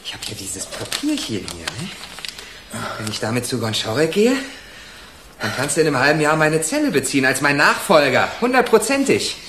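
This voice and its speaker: Sneering young ex-convict, very animated. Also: male voice, fairly high pitch, normal speed, roomy sound, background noise. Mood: bitter sarcastic. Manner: sneering, sarcastic, bullying. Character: vengeful young ex-convict apprentice, menacing and mocking. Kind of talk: German radio crime drama acting.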